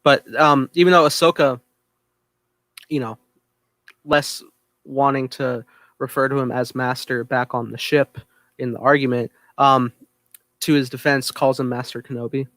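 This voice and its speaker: A man with a mid-range voice gives a casual recap as a podcast co-host. His delivery is halting and hesitant, and the sound is close and dry with no room echo. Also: mid-range voice, fairly even intonation, fairly bright tone.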